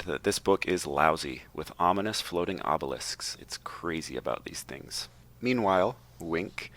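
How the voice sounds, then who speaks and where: A low-pitched man with a bright, sharp tone reads a prepared summary on a podcast. His delivery is tongue-in-cheek, dropping playful asides between plot points. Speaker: podcast co-host reading a book synopsis.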